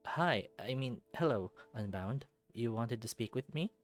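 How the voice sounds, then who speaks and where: A man's voice: medium pitch, animated, a little slow, faint music behind, lightly acted. A man playing an anxious, unsure male college student who narrates his thoughts hesitantly and informally in a visual novel. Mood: uneasy.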